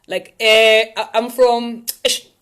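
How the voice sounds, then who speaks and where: A man's voice: very high voice, little pitch movement, slow, fairly bright tone, clear, resonant tone. A man with a South African accent hosting a casual live session for viewers, talking in a rambling, playful way. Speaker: host of a live tips session.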